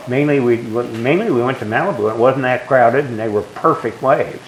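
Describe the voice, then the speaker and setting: An older man with a low, growly bass voice, talking casually in a group and rambling through stories with many restarts. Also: normal speed, a little hiss or hum, low-quality recording. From Southern California. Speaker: guest being asked questions.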